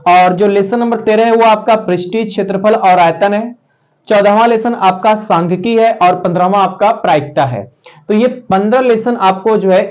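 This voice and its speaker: A male math teacher with a sore, slightly hoarse throat, talking students through a lesson in a chatty, repetitive way. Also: high voice. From Uttar Pradesh. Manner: chatty, repetitive, addresses students warmly as "pyare saathiyon". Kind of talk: casual spoken math lesson. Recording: dull lo-fi sound.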